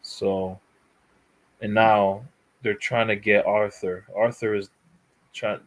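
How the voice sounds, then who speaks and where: Male pundit, low pitch, halting casual delivery with fillers. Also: little pitch movement, poor audio. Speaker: panel guest. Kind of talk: casual football chat.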